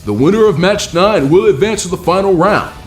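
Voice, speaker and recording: A man playing a commanding tournament proctor, announcing formally and emphatically in a showy, drawn-out arena style. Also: high-pitched, expressive, reverberant, soft background music, pitched above the performer's own voice, poor audio.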